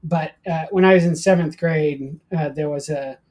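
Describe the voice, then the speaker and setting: An American man in middle life with a soft-edged, raspy voice. His tone is mellow and unforced as he tells personal stories in a casual interview, with a self-deprecating streak. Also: on the high side, fairly even intonation, normal speed. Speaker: guest, professor of ancient Christianity.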